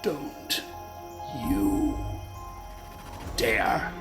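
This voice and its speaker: An actor playing a proud, menacing fae king in a fantasy radio play, slow and deliberate with a gravelly voice. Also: on the low side, lively intonation. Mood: defiant. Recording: roomy echo under music.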